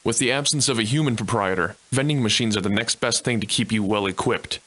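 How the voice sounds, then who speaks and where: A man voicing a folksy, easygoing guide who explains things colloquially and in a measured way for a video game tutorial. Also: lowish voice, fairly bright tone. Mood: fond, instructive.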